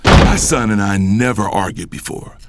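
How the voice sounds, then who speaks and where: A man's voice: lowish voice, normal speed, background noise, lightly acted. A man acting as a hot-tempered, domineering middle-aged father and company boss in an audio drama. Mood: indignant, stunned. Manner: commanding, indignant. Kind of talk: dramatized first-person audio drama narration and dialogue. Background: music.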